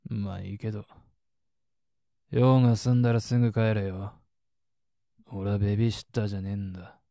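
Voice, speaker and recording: Man playing a low, gruff, world-weary older guy. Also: flat delivery, normal speed, dark tone, no room sound, clean studio sound. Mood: weary, aloof. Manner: low-key, brusque, languid. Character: gruff, cool, world-weary mature man, reluctantly indulgent. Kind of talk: Japanese situation voice acting.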